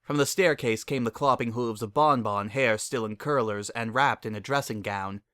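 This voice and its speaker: A man's voice: medium pitch, normal speed, sharp tone, clean tone, no room sound, studio quality. A man narrating a scripted dramatic reading of a story.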